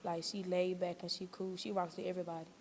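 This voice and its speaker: A woman's voice: on the low side, little pitch movement, fast talker, darkish tone, a little hiss or hum. A woman actor answering interview questions in casual, laid-back, colloquial slang. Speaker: young stage play actor being interviewed.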